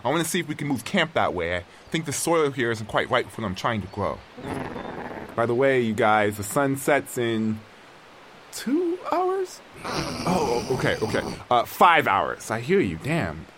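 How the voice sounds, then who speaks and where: Proud stranded male survivor played by an actor, haughty and halting, highly animated delivery for audio fiction, noisy background. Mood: bossy. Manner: haughty, halting, talks to unheard companions. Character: proud stranded male castaway, bossy, grudging, talking to creatures. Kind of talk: dramatic audio fiction monologue, survival log.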